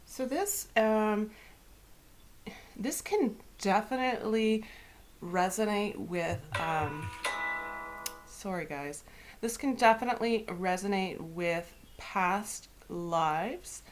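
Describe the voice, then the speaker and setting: A woman with a head cold and a slightly raspy throat, hosting and talking through a weekly tarot card reading in a soft, unhurried way. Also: mid-range voice, lively intonation. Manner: soft, unhurried, with whispered invocations. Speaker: tarot reader.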